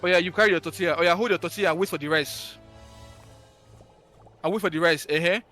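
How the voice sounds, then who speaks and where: A man's voice: high voice, fast, pushed and bright, faint music behind. A young man giving orders to others while they cook together. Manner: bossy, directing others while cooking.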